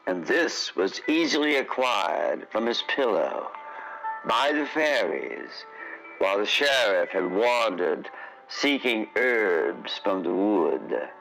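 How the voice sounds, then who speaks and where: A man narrating a scripted fantasy tale as a radio drama voice actor, with a solemn, theatrical, deliberate delivery. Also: lowish voice, sing-song, a bit bright, faint music behind, low-quality recording.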